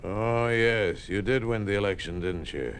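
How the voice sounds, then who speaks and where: An actor playing a smug, menacing communist official in a 1950s radio drama, condescending and sardonic. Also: male voice, lowish voice, measured pace, clear, resonant tone. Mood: smug, mocking. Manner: condescending, sardonic, coolly threatening.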